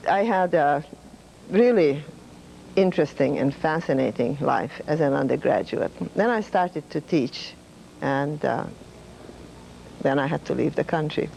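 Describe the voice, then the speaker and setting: Gravelly older Hungarian-accented woman. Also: low voice, lively intonation, slight background noise, poor audio. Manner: measured, with fillers and soft laughs. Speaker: retired professor, interviewee. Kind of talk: reflective storytelling.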